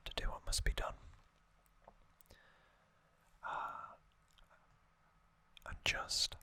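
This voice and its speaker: A man hosting ASMR, recapping a story in a breathy, unvoiced whisper with a gentle, halting delivery. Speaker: ASMR host.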